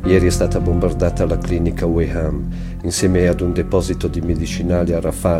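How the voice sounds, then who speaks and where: Male reader, very low and level delivery, literary diary narration. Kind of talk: literary reading of a war diary. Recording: clear music behind the voice. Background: music.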